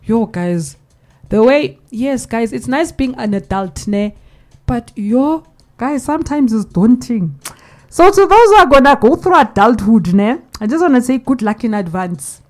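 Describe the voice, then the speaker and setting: Lively high-voiced South African woman host. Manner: animated, laughing, drawn-out emphatic repeats. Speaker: radio host reading listener comments. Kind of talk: lively radio banter. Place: radio studio.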